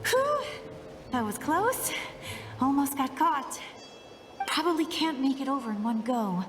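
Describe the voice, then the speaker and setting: A woman's voice: high voice, lively intonation, measured pace, music in the background. A woman voicing an eager, cheeky young girl sidekick in a video game, chirpy, teasing and self-assured. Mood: focused.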